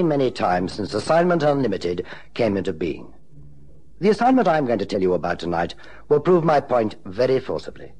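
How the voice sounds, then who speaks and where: An actor playing a suave private investigator in an old-time radio drama, measured and polite. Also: male voice, lowish voice, a little mellow. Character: suave male private investigator telling his case.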